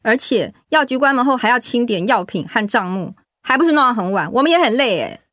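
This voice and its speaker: A woman's voice: fairly high pitch, expressive, brisk, fairly bright tone, no echo, clean studio sound. A woman acting in a radio drama as a discontented wife, complaining and insistent. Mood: aggrieved complaining. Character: discontented, nagging young wife at end of patience.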